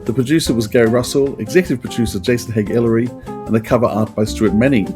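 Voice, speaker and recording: A middle-aged man speaks as a podcast host at an ordinary male pitch. He goes through facts conversationally, with music clearly audible behind him. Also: steady tone, fast talker. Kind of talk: conversational trivia rundown and discussion.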